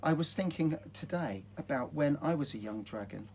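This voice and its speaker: A man voicing a gentle, patient mother dragon in a storybook, softly and soothingly. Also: a little high, animated, normal speed, a little hiss or hum, lightly acted. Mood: reassuring, patient.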